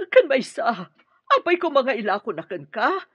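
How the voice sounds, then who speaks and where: An actress playing an anguished, wronged wife in a radio drama, pleading and defiant with emotion. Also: female voice, on the high side, very animated, normal speed, a bit bright, husky, no room sound, exaggerated voice. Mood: distressed, defiant. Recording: dull, dry lo-fi audio.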